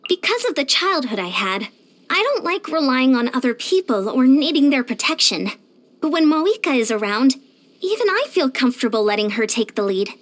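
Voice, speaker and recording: A woman voicing a short, determined, energetic young female fitness coach in a video game, earnest and plainspoken. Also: high-pitched, moderate pace. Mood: warm admiration. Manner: earnest, plainspoken, chatty, confident. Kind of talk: animated video game character voice lines.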